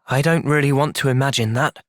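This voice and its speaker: A man's voice: medium pitch, fairly even intonation, brisk, fairly bright tone, no room sound, lightly acted. Man acting earnest young soldier, very dry recording. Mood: calm, thoughtful. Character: earnest, thoughtful young male soldier, gentle but resolute. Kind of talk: video game cutscene acting.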